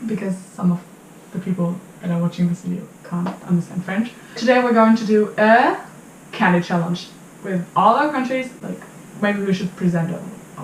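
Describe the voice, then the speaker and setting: A woman's voice: medium pitch, slight background noise. Teenage girl from Germany, echoey room. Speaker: channel host. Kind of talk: casual vlog chat among friends. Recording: echoey room with music underneath.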